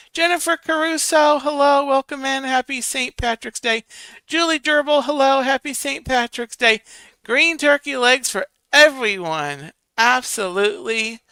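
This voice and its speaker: A man's voice: high voice, bright, forceful tone, smooth, no echo. A man hosting a casual live stream, reading viewer comments and counting votes aloud while muttering to himself.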